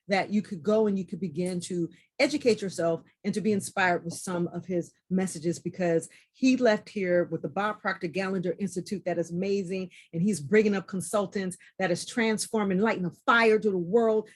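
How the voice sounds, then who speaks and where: A woman hosting a podcast in an excited, effusive way, often repeating phrases for emphasis. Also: mid-range voice. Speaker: podcast host.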